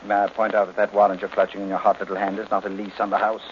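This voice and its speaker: A pompous genius detective of vintage radio drama, played by a man. His low voice is precise, sardonic and easily irritated, on a dull recording with faint noise. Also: monotone, very fast, close to an everyday voice. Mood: irritated sarcasm. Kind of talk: old-time radio detective drama acting. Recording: muffled, noisy old-time radio recording.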